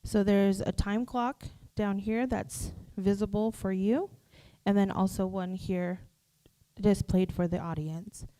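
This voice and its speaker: A woman's voice: medium pitch, steady tone, takes it slowly, dark tone. A woman staffer formally reading out the rules at a live forum. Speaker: youth program staffer reading debate rules. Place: live candidate forum before an audience.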